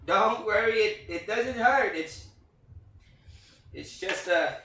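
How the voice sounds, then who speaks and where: Man acting a cuddly stage puppet, childlike, tender, halting, slow-paced, distant roomy microphone. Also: high-pitched, bright, forceful tone, slight background noise, exaggerated voice. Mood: strained, reassuring. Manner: halting, stammering delivery. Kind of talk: staged reading of a play.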